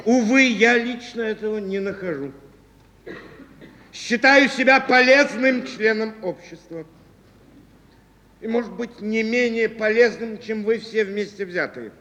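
In a reverberant hall with faint noise, a proud, defiant Soviet drama hero, a working boss from the taiga. He speaks slowly and emphatically, with theatrical pauses and a bright, forceful edge. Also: sits high. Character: proud, defiant taiga transport boss, bitterly insisting he is happy. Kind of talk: Soviet stage and radio drama acting. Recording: echoey hall, faint noise, old archive.

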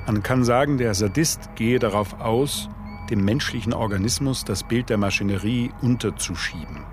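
A man reading a scripted literary essay as narrator, in a measured, formal manner. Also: fairly low pitch, background noise. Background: music.

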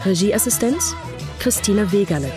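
A woman announcer reading radio drama credits in a formal, measured style. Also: medium pitch, lively intonation, music in the background.

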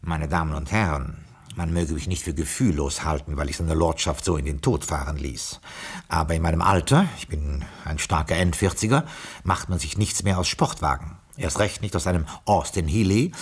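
Very husky, deep-voiced discreet butler. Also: male voice, moderate pace. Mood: dry, confiding. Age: 40s. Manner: deferential, formal, deadpan. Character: discreet, loyal butler in his late forties. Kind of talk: German radio play acting and narration.

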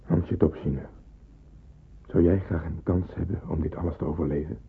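Deep gravelly commanding porter. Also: male voice, fairly even intonation, full character voice. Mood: grave, insistent. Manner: terse, commanding, deliberate. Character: male porter, grave, knowing, authoritative secret helper. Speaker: actor in a radio play. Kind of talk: Dutch radio play acting. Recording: muffled tinny old radio recording.

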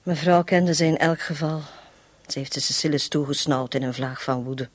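A woman's voice: low voice, moderate pace, no room sound. An actress playing a frank, protective housekeeper in a radio drama, talking bluntly and candidly to her master. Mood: grave.

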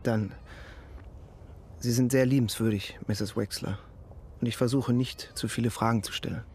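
An actor playing a polite, considerate police detective who asks tactful questions in a naturalistic radio drama. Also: male voice, mid-range voice, steady tone, normal speed, darkish tone, a little hiss or hum, close to an everyday voice. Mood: gentle, tactful.

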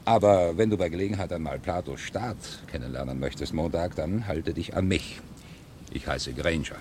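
A man plays an old scholarly leader of outcasts in a vintage radio drama. He speaks low, with easygoing authority, over a faintly noisy background. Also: moderate pace, close to an everyday voice. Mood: composed. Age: older. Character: old scholarly vagabond leader, genial and composed. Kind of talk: 1970 German radio play acting.

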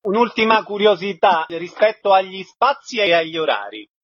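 Male radio host doing interviews, highish voice, bit lo-fi. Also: big pitch swings, normal speed, a bit bright. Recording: slightly dull, lo-fi radio sound.

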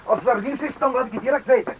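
Busy engineer dad in a radio play, talks fast, decisive, noisy recording. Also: male voice, very high voice, muffled recording. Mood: concerned, businesslike. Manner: brisk, decisive. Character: busy, responsible father and engineer. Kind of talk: radio drama acting.

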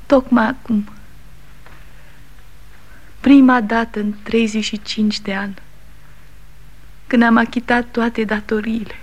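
An actress playing a grieving middle-aged widow in a 1960s radio drama, speaking in a hushed, breathy whisper. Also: female voice, on the high side. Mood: bewildered.

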